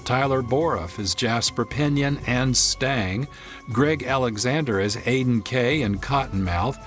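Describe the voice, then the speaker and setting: Casting: male radio announcer, average pitch, measured scripted read, light music bed underneath. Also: fairly bright tone. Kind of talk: scripted credits narration.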